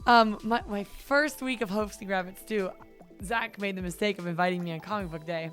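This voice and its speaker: A woman's voice: mid-range voice, brisk, fairly bright tone, soft background music. A woman hosting a live gaming show, chatting in a playful, giggly way. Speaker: stream show host. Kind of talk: casual live-stream chat.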